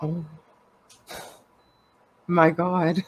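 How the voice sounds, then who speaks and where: A woman's voice: lowish voice, flat delivery, extremely slow, dull audio, a little hiss or hum. A Canadian woman with a congested, cold-stuffed voice, chatting candidly and rambling, laughing often. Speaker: podcast co-host. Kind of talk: casual personal chat.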